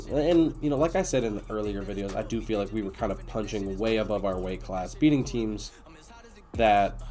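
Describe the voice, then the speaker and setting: A man with a raspy, nasal, congested voice giving casual commentary on a video game as host. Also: medium pitch, darkish tone. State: congested after being sick.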